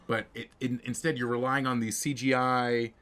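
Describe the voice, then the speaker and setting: A man's voice: mid-range voice, level tone, normal speed, fairly bright tone. A man co-hosting a podcast, chatting casually about games and films in an opinionated, rambling way. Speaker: podcast co-host.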